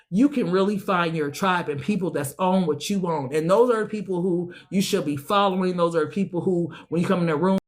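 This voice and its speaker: A woman giving a tarot reading to her viewers, slangy and profane, preaching with loads of enthusiasm and a voice that swings high and low. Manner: talks straight to viewers as a group. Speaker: tarot reader. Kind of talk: casual tarot reading to camera.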